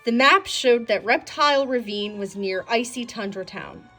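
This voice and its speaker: High-voiced woman reading a children's story. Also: normal speed, fairly bright tone, faint music behind. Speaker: storybook reader. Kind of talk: children's read-aloud narration.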